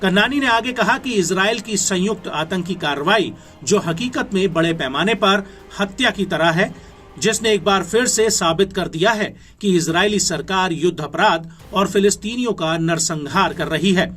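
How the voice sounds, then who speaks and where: High-pitched Indian male news narrator. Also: pushed and bright. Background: background music.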